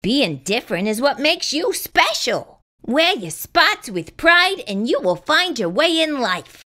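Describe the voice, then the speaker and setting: A woman voicing a kindly grown gorilla who gives reassuring advice in a deliberate storybook manner. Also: sits high, very animated, sharp tone, voice pushed up, full character voice. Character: kindly, encouraging adult gorilla giving wise advice.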